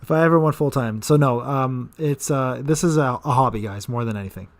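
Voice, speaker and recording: A man's voice: medium pitch, fairly quick. A man in his thirties hosting a casual live-stream Q&A, rambling with repeated words and fillers. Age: 30s. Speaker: gaming channel host.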